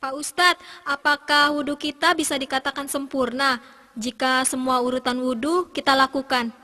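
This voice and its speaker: A woman's voice: high-pitched, fairly even intonation, moderate pace, bright, forceful tone. A woman voicing a polite, curious young pupil asking questions in a scripted educational cartoon. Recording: long hall reverb.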